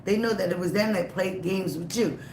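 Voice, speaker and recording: A tarot reader giving a live reading, emphatic and repetitive, slightly raspy from a cold. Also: medium pitch, brisk, a little mellow, reverberant, a little hiss or hum. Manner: emphatic, repeats phrases, frequent "okay?" and "all right?".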